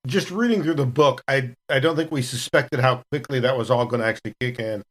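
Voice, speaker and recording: Male podcast player, medium-pitched voice, informal conversational delivery. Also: fairly quick. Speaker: podcast cast member and player. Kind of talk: casual chat.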